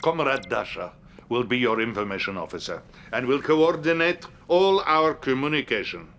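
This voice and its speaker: An actor playing a scheming, pompous Soviet leader in a video game cutscene, with a theatrical, deliberate delivery and a Russian accent. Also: male voice, mid-range voice, expressive, a bit bright, a little hiss or hum. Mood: urgent, commanding. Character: grandiose, scheming Soviet leader addressing his commander.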